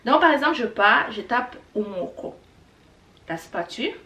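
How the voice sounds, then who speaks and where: A woman's voice: a little high, expressive, normal speed, bright, forceful tone. A middle-aged woman, a Kinyarwanda speaker, teaching words in a chatty, repetitive way with many fillers. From Rwanda. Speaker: language teacher vlogger. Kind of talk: casual teaching vlog. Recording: echoey room, a bit far from the mic.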